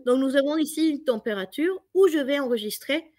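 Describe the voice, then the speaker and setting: A woman's voice: sits high, animated, fairly quick, a little mellow, dry recording, clean studio sound. A woman from Mexico with a Mexican Spanish accent, explaining her work as an interview guest. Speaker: interviewed biotech industry professional.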